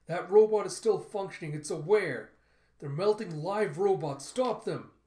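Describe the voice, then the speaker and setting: A man's voice: mid-range voice, moderate pace, a little mellow, roomy sound, close to an everyday voice. A man playing a defiant, idealistic young woman activist in dramatic storytelling dialogue. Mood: furious.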